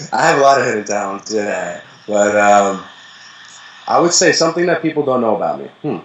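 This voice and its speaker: Relaxed young man, roomy echo. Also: lowish voice, normal speed. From the Bronx, New York. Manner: relaxed, rambling, many fillers. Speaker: interview guest, rapper. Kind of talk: casual interview chat.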